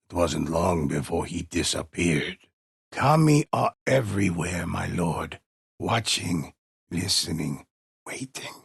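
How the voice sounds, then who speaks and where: A solemn, ominous storyteller from a samurai game. His voice is low and extremely raspy, recorded very dry and close. He unfolds legends of spirits with deliberate, reverent mystery. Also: no echo, exaggerated voice. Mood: hushed, mysterious. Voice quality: husky, gravelly. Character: grave, mysterious male teller of demon legends.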